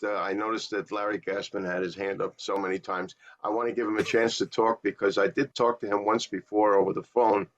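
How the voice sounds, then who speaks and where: A man's voice: lowish voice, fairly bright tone. A man making casual remarks as an audience caller on a group video call. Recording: dull lo-fi video call audio.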